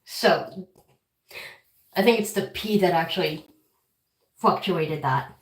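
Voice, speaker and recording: A woman's voice: on the low side, slow, roomy sound, recorded from a distance. Congested woman chatting on a pet livestream. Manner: chatty, talks to her pets affectionately. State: congested with a head cold, clearing throat. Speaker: guinea pig channel host. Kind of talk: casual livestream chat while caring for pets. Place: room at home, weighing pets on a counter.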